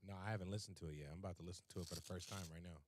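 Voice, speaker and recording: A man hosting a casual music interview, relaxed and quick, laughing often. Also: low voice, a little hiss or hum. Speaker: podcast host.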